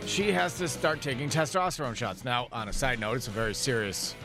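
A man hosting a morning radio show, teasing his co-host in a jokey, quick-to-laugh manner. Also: medium pitch, lively intonation, music in the background. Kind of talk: casual radio banter. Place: radio studio.

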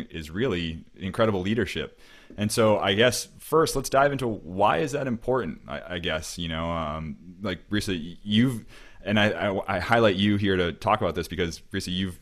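A man's voice: deep voice, fairly bright tone. A man hosting a podcast in an informal, chatty interview style. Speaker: podcast host. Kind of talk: casual interview chat.

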